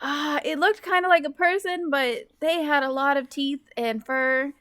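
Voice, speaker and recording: Woman playing high-voiced, bewildered slacker dude. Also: normal speed, a bit bright, no room sound, clean studio sound. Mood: awkward, puzzled. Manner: hesitant, rambling, casual. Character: awkward, bewildered, laid-back dude in a horror comedy.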